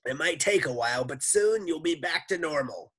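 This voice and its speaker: A reader acts out an eager school lunch lady from a children's storybook, kindly but pushy. The voice is raised high and loud, with a husky edge and an insistent delivery. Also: expressive, normal speed. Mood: reassuring, matter-of-fact.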